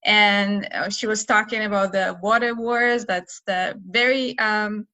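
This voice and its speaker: An Israeli woman with a bright, ringing voice speaks appreciatively, her English a little broken. The sound is dry and close, as on a video call. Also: mid-range voice, steady tone, unhurried, dry recording. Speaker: event participant. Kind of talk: casual sharing on a video call.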